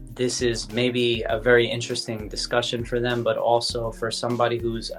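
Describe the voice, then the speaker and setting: A man's voice: medium pitch, a bit bright. A man hosting an interview podcast, a chiropractor who asks questions and gives short encouraging replies.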